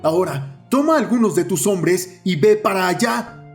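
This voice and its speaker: A man's voice: sits high, expressive, normal speed, faint music behind, voice pushed up. A man voicing an angry, defiant schoolboy in a dramatized horror story.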